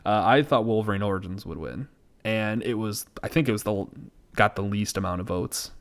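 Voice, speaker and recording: A man's voice: on the low side, moderate pace, vocal fry. A man co-hosting a podcast in casual comedic banter about movies, self-deprecating and mock-exasperated. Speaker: podcast co-host.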